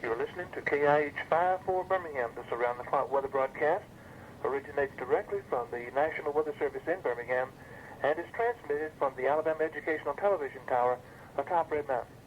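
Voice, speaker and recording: A man's voice: a little high, fast. A man reading a scripted station identification as an announcer on 1980s weather radio. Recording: muffled, noisy 1980s weather radio broadcast.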